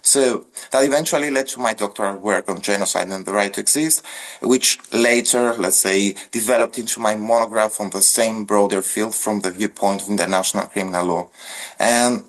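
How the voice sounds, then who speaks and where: A man's voice: lowish voice, low-quality recording. A man from central Greece with a Greek accent, a legal scholar reflecting formally on his path as a podcast guest. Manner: measured, formal, lists ideas one by one.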